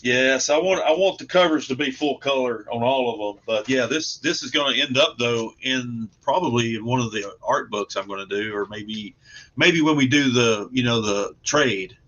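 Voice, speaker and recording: A man talks in a loose, informal, rambling way, like an artist guest explaining his craft. His voice sits at a middle pitch. The sound is dull and lo-fi, like a web call. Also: normal speed, fairly bright tone. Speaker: guest comic book artist. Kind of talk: casual chat.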